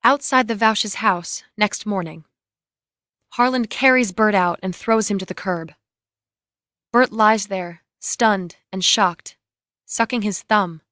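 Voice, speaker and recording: A woman's voice: mid-range voice, slow, sharp tone, dry recording, studio quality. A woman reading scripted, screenplay-style narration and stage directions.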